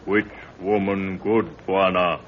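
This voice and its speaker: An actor playing a loyal, superstitious expedition guide who speaks halting broken English in a 1930s radio serial. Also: male voice, low-pitched, little pitch movement. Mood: uneasy, musing. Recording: tinny, faintly hissy old radio recording.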